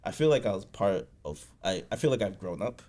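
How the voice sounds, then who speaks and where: A man from Boston, a guest on a conversation podcast, telling his story in a relaxed way with many fillers and frequent laughs. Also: low-pitched, fairly quick. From USA, Boston. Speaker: guest, founder of a creative studio.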